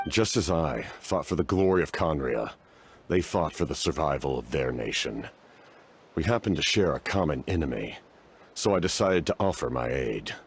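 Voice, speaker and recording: An actor playing a stoic, war-weary knight-commander in a fantasy game, speaking formally and gravely. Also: male voice, extremely low pitch, lively intonation, moderate pace, a little hiss or hum. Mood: solemn, reflective.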